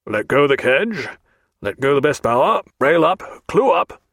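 A man voicing a male naval captain who gives crisp, curt orders to his crew. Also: a little high, sing-song, measured pace, fairly bright tone, dry recording, full character voice. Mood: commanding.

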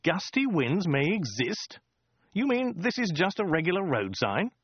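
A man's voice: sits high, very animated, measured pace, slightly rough, dry recording, muffled recording, voice pushed up, full character voice. A man voicing a dry, polite and slightly nervous Englishman. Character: wry, nervous Englishman abroad. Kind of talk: audiobook character voice.

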